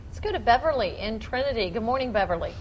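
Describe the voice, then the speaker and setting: A woman hosting a radio call-in show, briskly introducing callers and moving things along. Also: fairly high pitch, expressive. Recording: clearly noisy background.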